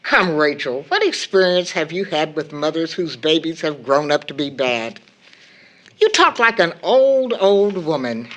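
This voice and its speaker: Fond, expressive drama mother. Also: sits low, a little slow. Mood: fond, weary. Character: tired, fond, gently chiding widowed seamstress mother. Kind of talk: stage-play audio drama acting.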